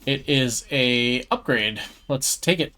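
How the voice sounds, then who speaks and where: A man's voice: medium pitch, slow pace, sharp tone. A man hosting a gaming video, giving casual commentary on his playthrough. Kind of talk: casual game playthrough commentary, reading choices aloud. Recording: faint game music behind. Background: game music.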